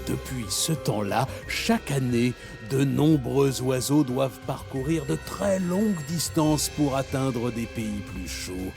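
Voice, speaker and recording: A man playing a wise, patient old animal teacher who tells stories in a measured, solemn way in a children's cartoon. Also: medium pitch, expressive, gravelly. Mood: solemn storytelling. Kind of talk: dubbed children's cartoon, storytelling. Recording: light music behind.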